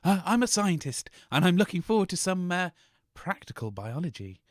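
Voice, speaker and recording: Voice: mid-range voice, sing-song, normal speed, no room sound, clean studio sound. An actor playing a blunt, eccentric woman scientist as a matter-of-fact contestant in a radio comedy game show. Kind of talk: comedy sketch acting, chatty.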